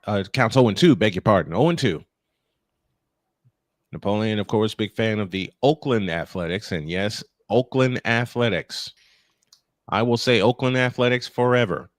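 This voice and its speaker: A man with a low voice hosts a live baseball call in a dry, close sound. He slips from play-by-play into folksy, joking chat. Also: expressive, moderate pace, no echo. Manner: folksy, joking asides between calls. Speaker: play-by-play baseball announcer and stream host. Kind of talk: live sports commentary mixed with casual chat.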